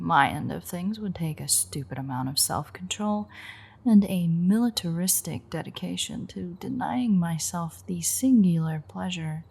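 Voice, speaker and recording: A woman playing a sardonic, teasing female vampire mechanic in an audio roleplay, with dry sarcasm and a husky, gravelly, breathy delivery. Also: lowish voice, darkish tone, exaggerated voice. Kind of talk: audio roleplay acting, intimate one-sided dialogue.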